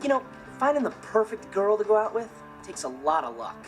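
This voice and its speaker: A man's voice: high voice, big pitch swings, brisk, soft background music. A young man with an American accent playing a cool, carefree, mischievous teenage brother in a sitcom, talking casually to camera. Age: teenage to young adult. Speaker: sitcom lead. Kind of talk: scripted sitcom monologue to camera.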